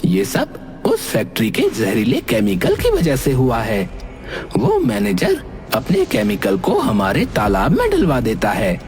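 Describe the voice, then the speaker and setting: A man's voice: fairly high pitch, huge pitch swings, unhurried, vocal fry, a little mellow, full character voice. A man voicing a friendly, indignant cartoon ghost with an earnest, dramatic, pleading way of talking. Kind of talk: animated cartoon character acting. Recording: music bed under the voice.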